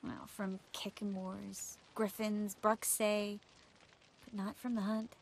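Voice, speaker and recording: An actress playing a self-assured, tender young sorceress in a video game, speaking earnestly and expressively. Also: female voice, mid-range voice, flat delivery, slow. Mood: relieved, devoted. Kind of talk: video game cutscene dialogue.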